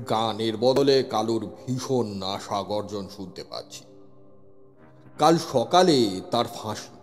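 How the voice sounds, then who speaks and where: Male narrator, suspenseful horror story, animated pitch, long reverb, music underneath. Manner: suspenseful, dramatic delivery. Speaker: narrator, telling the story as a police inspector.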